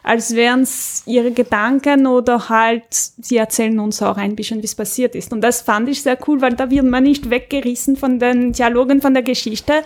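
A woman's voice: a little high, fairly even intonation, normal speed, few pauses. A woman talks as a podcast panelist. She chats casually through a review, in an informal and conversational way.

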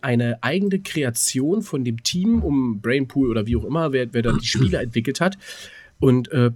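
A man's voice: mid-range voice, darkish tone, slightly rough, no echo. Guy in his late 20s just chatting on a podcast, rambly, trips over words, dry close sound. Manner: rambling, stuttering word repeats. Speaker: podcast co-host. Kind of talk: casual chat, telling anecdotes.

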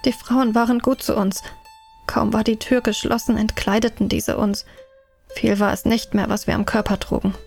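Gravelly-voiced woman narrating. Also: fairly high pitch, flat delivery, normal speed, darkish tone, faint music behind. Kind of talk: scripted first-person narration.

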